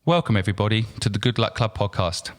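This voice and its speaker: Lively, animated male podcast host, upbeat, faint music behind. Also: on the low side, fast. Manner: upbeat, with stumbling repeats when asking. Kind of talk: podcast intro and interview.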